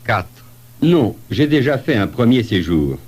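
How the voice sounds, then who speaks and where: A man playing a polite traveller in a scripted language-lesson dialogue, giving deliberate answers. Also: medium pitch, very animated, a little mellow, slightly rough. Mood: polite, matter-of-fact.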